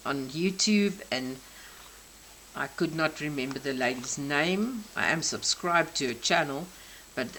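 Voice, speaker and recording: A South African woman in her sixties, a native Afrikaans speaker, gently explaining each detail of her craft journal. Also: low-pitched, slight background noise, poor audio. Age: 60s. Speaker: craft channel host. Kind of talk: crafting show-and-tell flip-through.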